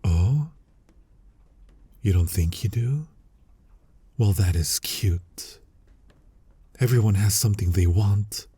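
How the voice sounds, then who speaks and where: A man playing a flirty, exasperated incubus demon in an intimate ASMR roleplay, husky and breathy with a teasing, sardonic manner, often slipping toward a whisper. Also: fairly low pitch, dark tone, no room sound, heavily acted. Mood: seductive, teasing. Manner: slow, teasing, sardonic delivery. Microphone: close, dry studio-style microphone.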